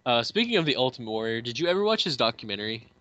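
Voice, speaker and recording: A man's voice: medium pitch, big pitch swings, very fast, fairly bright tone, dry recording, lo-fi sound. A man co-hosting a casual fan movie commentary, joking profanely and slipping into mock quotes. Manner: crude, profane, breaks into mock voices and quotes.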